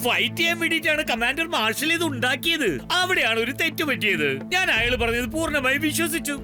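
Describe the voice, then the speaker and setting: A man's voice: very high voice, animated, fairly quick, music in the background. A man voicing a fretful, apologetic robot builder in a children's cartoon dub, exclaiming and explaining in a flustered way. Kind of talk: dubbed children's cartoon acting.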